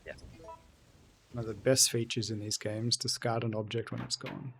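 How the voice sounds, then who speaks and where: A man's voice: medium pitch, little pitch movement, moderate pace, gravelly, fairly bright tone, a little hiss or hum. A man streaming a video game, chatting casually with viewers and reading their messages aloud. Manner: chatty, reads and answers chat aloud. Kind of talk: casual let's-play commentary.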